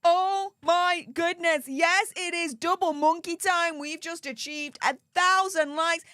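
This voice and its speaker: A woman's voice: extremely high pitch, steady tone, slow, pushed and bright, dry recording, clean studio sound. A woman with a Yorkshire accent hosting a live stream, reading out donations with perky, gushing enthusiasm. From Yorkshire, England.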